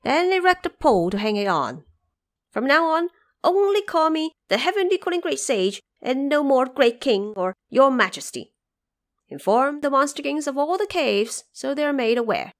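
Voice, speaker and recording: A woman's voice: high voice, sing-song, moderate pace, pitched above the performer's own voice. A woman voicing a proud, hot-tempered, boastful male monkey king in an audio drama. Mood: delighted, commanding. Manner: boastful, commanding, indignant. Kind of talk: audio drama character acting.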